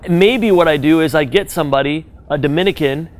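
Animated man giving business advice live. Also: on the high side, brisk. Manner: animated, direct, second-person advice with frequent "right?". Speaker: business speaker advising an audience. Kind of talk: live talk to a room audience.

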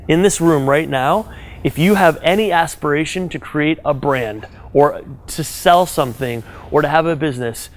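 A man giving direct business advice to a live audience, animated and conversational, checking in with "right?" as he goes. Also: a little high. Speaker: business speaker advising an audience. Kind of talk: live talk to a room audience.

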